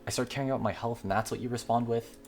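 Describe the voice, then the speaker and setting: A man's voice: medium pitch, steady tone, racing, creaky, faint music behind, lightly acted. A man voicing a stubborn, playful young fox girl obsessed with games, in casual teasing banter.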